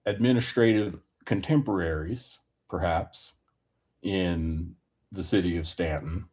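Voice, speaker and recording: A man giving a rambling personal opinion monologue in a deliberate, halting manner with wordy, formal phrasing. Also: deep voice, darkish tone, breathy edge.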